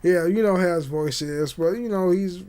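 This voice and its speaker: A young man hosting a podcast, chatting casually and haltingly, with repeated words and frequent swearing. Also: sits high, dark tone, clear, resonant tone. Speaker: podcast host. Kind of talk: casual rambling chat with swearing. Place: small home room indoors. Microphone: condenser mic on boom arm.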